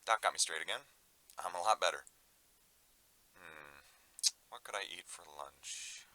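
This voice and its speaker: An actor playing a troubled, confused man recording a private audio diary, halting and muttering to himself. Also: low-pitched, bright, forceful tone, full character voice. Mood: relieved, casual. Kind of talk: audio drama monologue.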